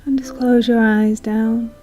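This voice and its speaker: A woman leads a guided meditation in a soothing, hushed manner, leaving long pauses. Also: fairly high pitch. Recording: soft music behind voice. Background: calm meditation music.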